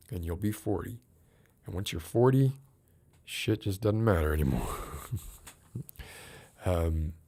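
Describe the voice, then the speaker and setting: Slow, low-voiced man musing aloud. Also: a little mellow. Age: 40s. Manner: musing, rambling, wry; greets viewers in chat by name. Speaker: livestream host. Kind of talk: casual livestream chat.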